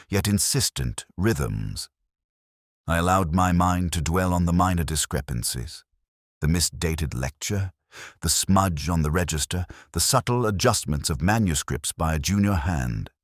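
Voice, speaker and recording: Male actor, cerebral detective, extremely low voice, contemplative scripted mystery narration, measured literary delivery, dry close sound. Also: dry recording, full character voice. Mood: brooding, reflective.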